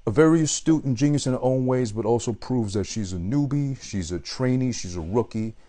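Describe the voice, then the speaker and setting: A man's voice: medium pitch, brisk, mellow. A man giving a rambling, profane movie review with an unusual voice. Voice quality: unusual voice that might put people off. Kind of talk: casual profane movie rant.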